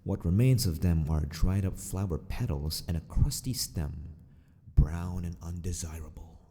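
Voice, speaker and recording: A man acting a sadistic, possessive mad scientist in an ASMR roleplay, speaking with formal, mock-polite theatricality and often slipping toward a breathy whisper. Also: low voice, measured pace, mellow. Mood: silky, possessive. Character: sadistic, possessive male mad scientist of an empire, mockingly courteous. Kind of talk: ASMR villain roleplay monologue.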